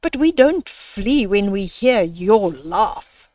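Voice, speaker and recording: A woman's voice: mid-range voice, very animated, slow, a little mellow, dry recording. A woman voicing a tall, dismissive giraffe who retorts emphatically in a children's storybook. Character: tall giraffe, frankly dismissive of a would-be king.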